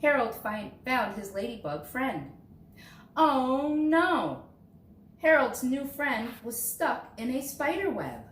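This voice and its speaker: A woman reads a picture book aloud to young children in an expressive way, dramatizing key words. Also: high-pitched, slow pace. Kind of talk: storybook read-aloud. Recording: roomy echo, distant mic, faint noise.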